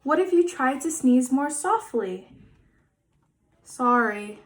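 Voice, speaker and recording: A woman's voice: high-pitched, big pitch swings, unhurried, a little mellow. A woman reading a children's picture book aloud, acting out the dialogue expressively. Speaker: volunteer picture book reader.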